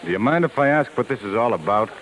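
A man's voice: mid-range voice, expressive, quick pace, fairly bright tone, lightly acted, low-quality recording. An actor playing a proud, guarded male nightclub singer in a 1950s radio drama, on an old broadcast recording.

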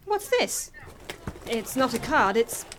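A woman's voice: on the high side, very animated, slow pace, a little hiss or hum. A woman playing a practical, affectionate companion in an audio drama, with a dry, teasing manner. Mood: puzzled, teasing.

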